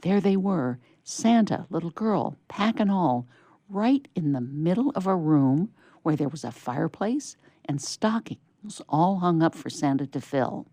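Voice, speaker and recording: A woman narrates a children's story, reading aloud to young listeners. Her voice is low and soft-edged, with some fry, recorded close and dry. The delivery is measured and scripted. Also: animated, no room sound. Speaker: story reader.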